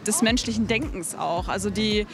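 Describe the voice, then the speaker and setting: A woman's voice: medium pitch, normal speed, over music. A woman giving a casual opinion in a street interview outdoors. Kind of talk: casual vox pop opinion.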